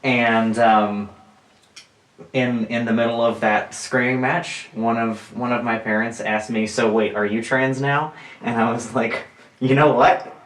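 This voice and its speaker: A man of twenty-five, co-hosting a podcast, telling stories in an animated way with lots of fillers and frequent laughs. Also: mid-range voice, measured pace. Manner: animated storyteller, laughs often, many fillers. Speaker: podcast co-host. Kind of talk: casual chat. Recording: roomy sound, mic at a distance.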